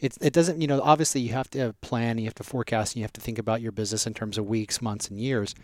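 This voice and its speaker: A man from Southern California raised in Las Vegas, a restaurant group president answering interview questions in a measured way with frequent restarts. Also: medium pitch, fairly even intonation.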